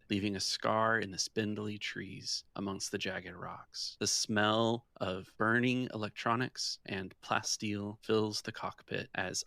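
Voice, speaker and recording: A man's voice: lowish voice, creaky, a bit bright, dry recording. A man hosting a tabletop roleplaying game as game master, narrating scenes in a descriptive, conversational storytelling way.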